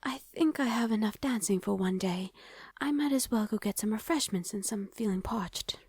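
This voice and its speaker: A woman's voice: medium pitch, moderate pace, a little mellow, breathy edge, dry recording, clean studio sound. A woman playing a proper, anxious young princess in a romantic ASMR roleplay, speaking in a genteel, hesitant way. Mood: polite, nervous.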